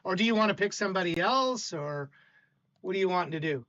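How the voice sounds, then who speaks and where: An older man with a loud voice hosting a livestream, chatting casually and stammering as he talks to chat. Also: sits high, animated, brisk, highs cut off. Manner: rambling, stammering, warm toward chat. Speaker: stream host.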